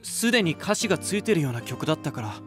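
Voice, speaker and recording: A man's voice: a little high, sing-song, quick pace, a bit bright, soft background music. A male actor playing a sincere, eager young rock singer in an anime voice drama, speaking politely. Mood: curious.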